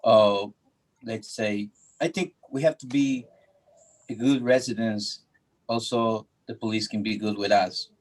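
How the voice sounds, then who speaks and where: A man's voice: fairly low pitch, unhurried, poor audio. A middle-aged man from Ecuador, a native Spanish speaker with an Ecuadorian accent, making informal personal remarks at a remote public meeting.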